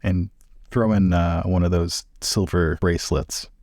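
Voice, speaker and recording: A man's voice: low voice, fairly even intonation, a little slow, darkish tone, no room sound, studio quality. A man playing in a tabletop roleplaying game, asking questions and describing actions in a thoughtful, inquisitive way. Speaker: player in a roleplaying podcast.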